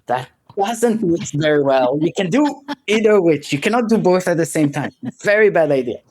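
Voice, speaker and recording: A man's voice: a little high, expressive, normal speed, darkish tone. A man in his thirties, born in France and raised in Israel, answering interview questions directly, with many restarts and self-deprecating laughs. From born in France, grew up in Israel. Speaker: guest.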